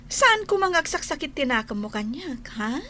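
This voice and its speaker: An actress playing a gushing, sugary young bride-to-be in an Ilocano radio drama, with an Ilocano accent. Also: female voice, high-pitched, big pitch swings, normal speed, pushed and bright, a little hiss or hum, heavily acted. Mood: sweetly smug. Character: Carla, gushing young bride-to-be boasting to her rival.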